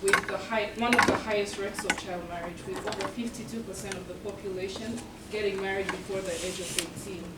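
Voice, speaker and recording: A woman's voice: medium pitch, fairly even intonation. Young woman presenting in an echoey room. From South Sudan and Uganda. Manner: earnest, measured, with frequent ums. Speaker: student presenter. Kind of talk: presentation talk. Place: event room. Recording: echoey room from far off, with background noise.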